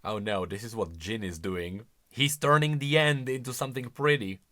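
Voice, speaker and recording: A man's voice: medium pitch, steady tone, normal speed, bright, forceful tone. A man hosting a game lore channel with casual reaction commentary, musing aloud and leaning hard on key words.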